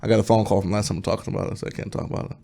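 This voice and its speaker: A man's voice: lowish voice, steady tone, very fast, creaky, mellow. A man hosting a podcast in casual chat, asking questions, teasing and laughing easily. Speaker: podcast host.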